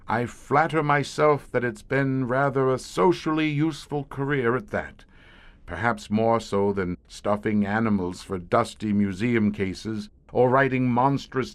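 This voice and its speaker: An actor playing a haunted, learned middle-aged scientist who guards monster-ridden tunnels, speaking in a grave, florid, theatrical way for an audio horror drama. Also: male voice, mid-range voice, close to an everyday voice. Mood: proud, wry. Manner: grave, florid, dramatic storyteller with weighty pauses.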